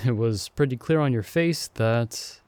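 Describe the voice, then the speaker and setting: A man as a playful young sidekick, slow and mellow, lively pitch, close dry mic. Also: dry recording, close to an everyday voice. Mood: cheerful. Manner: teasing, friendly and upbeat. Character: playful young man, the hero's friend summoned with him. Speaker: voice actor. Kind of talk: ASMR audio roleplay.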